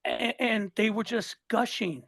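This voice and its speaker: A man's voice: high voice, a little slow, a bit bright, dry recording, clean studio sound. A man in his sixties from Southern California, a listener guest talking politics in a fired-up way, often repeating and restarting words. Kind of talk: casual political chat.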